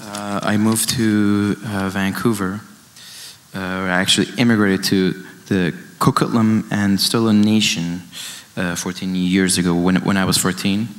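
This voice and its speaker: Low, even-toned young man speaking haltingly. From Iran. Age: late 20s. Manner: halting, reflective, circling back over phrases. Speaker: dance artist, panelist. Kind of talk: informal artist talk at a symposium. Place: indoor event stage, amplified.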